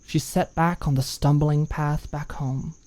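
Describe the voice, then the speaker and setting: A woman reading a scripted story as an audiobook narrator, in an expressive storytelling style. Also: deep voice, a little slow.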